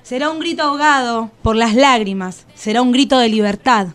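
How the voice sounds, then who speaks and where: A woman's voice: a little high, moderate pace, soft background music, natural voice. An actress playing a wounded, bitter and defiant enslaved everywoman, reciting emphatically in poetic radio theatre. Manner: solemn, emphatic poetic listing.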